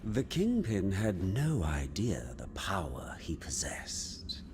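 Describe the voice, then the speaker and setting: A man playing a smug, scheming supervillain mastermind in a video game, speaking with deliberate, silky condescension. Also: low voice, wildly swooping pitch, background noise, full character voice. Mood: sinister, confident. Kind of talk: theatrical video game villain acting.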